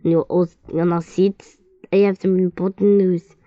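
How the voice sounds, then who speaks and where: Brisk no-nonsense teacher, read by a woman. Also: fairly low pitch, little pitch movement, no echo, dull audio, close to an everyday voice.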